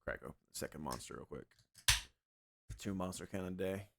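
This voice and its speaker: A young man streaming a game and chatting loosely with his viewers, his voice a little scratchy from yelling the night before. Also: low-pitched, brisk, vocal fry. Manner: relaxed, rambling, talks to chat. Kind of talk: casual livestream chat.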